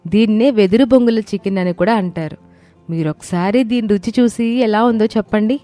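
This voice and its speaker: A woman playing a calm, encouraging village daughter-in-law in a story. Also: medium pitch, normal speed, faint music behind, lightly acted. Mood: cheerful.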